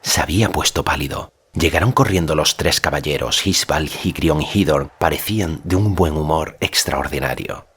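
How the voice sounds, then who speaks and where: A man narrating a fantasy story as a scripted audiobook, measured and solemn in delivery. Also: extremely low pitch, a bit bright, slight background noise.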